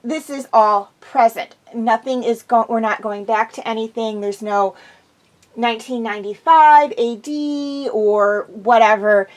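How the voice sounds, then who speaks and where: A woman's voice: on the high side, measured pace. A woman host chatting casually and enthusiastically through an episode review, slightly congested from a cold.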